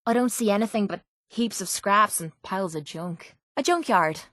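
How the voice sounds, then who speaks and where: A woman's voice: mid-range voice, normal speed, fairly bright tone, dry recording, clean studio sound, natural voice. An actress playing a tough, sardonic young female brawler in a video game, with an Irish accent and a brash, earthy way of talking. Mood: scornful, sardonic. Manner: brash, sarcastic, earthy slang. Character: tough, foul-mouthed, sardonic female brawler with a violent streak. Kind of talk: video game character voice lines.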